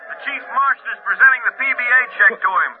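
A woman playing a harried, oblivious phone answerer in a radio drama, shouting to be heard through a telephone. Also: fairly high pitch, fast talker. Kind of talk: mid-century American radio drama acting. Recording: tinny phone line, faint noise.

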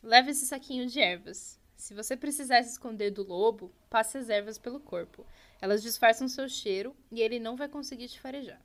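A woman's voice: on the high side, a little fast, a bit bright. A woman doing a kindly old witch.

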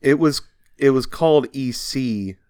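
A man's voice: medium pitch, measured pace, a little mellow, no echo. A man hosting a podcast, telling history in a casual, colloquial and explanatory way. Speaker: podcast host.

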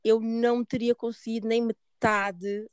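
A woman's voice: on the high side, flat delivery, a little slow, clean tone, dry recording, studio quality. A woman host from Portugal with a European Portuguese accent, chatting informally and dropping in English words. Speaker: podcast host. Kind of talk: casual conversation.

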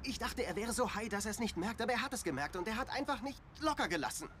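A man's voice: high voice, expressive, quick pace, a bit bright, slight background noise. An actor playing a distressed, bitter young man, blunt and exasperated, in a dubbed TV drama. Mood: weary, guilty. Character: distressed, broke, bitter young man dragged into murder cases.

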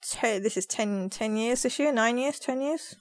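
A woman's voice: fairly high pitch, normal speed, no echo. A woman hosting a podcast in casual conversation, chatty, teasing and full of questions. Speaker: podcast host.

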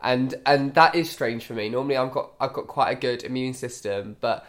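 A man, co-hosting a podcast in casual chat, his voice hoarse and croaky from illness. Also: medium pitch, a little fast. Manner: easygoing, jokey. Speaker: podcast co-host.

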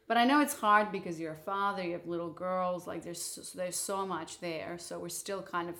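A woman's voice: fairly low pitch, normal speed, sharp tone. Frank, opinionated woman from Moldova. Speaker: podcast host. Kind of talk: casual couple's chat.

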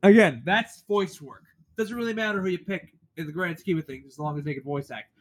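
A man's voice: high-pitched, fairly quick, dry recording. A man co-hosting a casual podcast, talking emphatically at length with a stuffy nose. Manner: emphatic, swears, talks at length. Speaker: podcast co-host. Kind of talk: casual chat.

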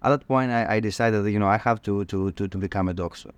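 A middle-aged man with a Greek accent speaks as an interview guest. His voice is low and clean, recorded dry. He answers reflectively, often pausing and repeating words as he thinks. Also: no echo. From northern Greece. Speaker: podcast guest, cardiologist.

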